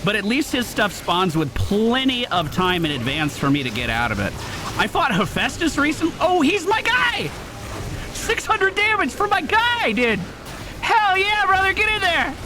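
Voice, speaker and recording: A young man commentating his own video game run, excitable and quick to hype big moments. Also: extremely high pitch, background noise. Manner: excitable, shouts and hypes when things go well. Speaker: gaming streamer. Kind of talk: let's play commentary. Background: game music.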